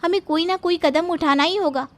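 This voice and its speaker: Woman as a very high, bright young girlfriend. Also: moderate pace. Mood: urgent, insistent. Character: bold, insistent young girlfriend pushing for marriage. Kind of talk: Hindi animated story acting.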